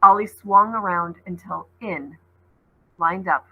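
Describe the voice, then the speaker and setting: A woman teacher reading a novel aloud to her class, in an expressive storytelling manner. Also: slow pace, highs cut off. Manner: expressive read-aloud, voices rising high on called names.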